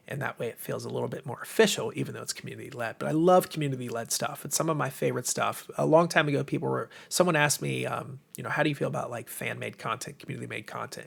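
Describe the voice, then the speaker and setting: An American man with a tired, slightly hoarse voice chats casually and enthusiastically as the host of a livestream, rambling informally to viewers. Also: medium pitch. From United States. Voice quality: tired, strained voice. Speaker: livestream host, game publisher.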